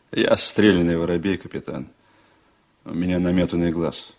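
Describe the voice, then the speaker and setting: A shrewd, seasoned male sailor in a radio drama. His voice is low, and he talks deliberately and frankly, like a loyal man sharing a confidence, with a wry edge. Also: fairly even intonation, vocal fry, darkish tone. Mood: wry, knowing. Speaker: actor in a radio play. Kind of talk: 1980s Soviet radio drama acting.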